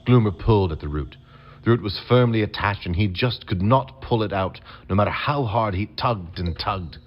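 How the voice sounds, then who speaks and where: A man reading scripted storybook narration for an audio adventure game in a measured, dramatic storytelling manner. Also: on the low side, muffled recording.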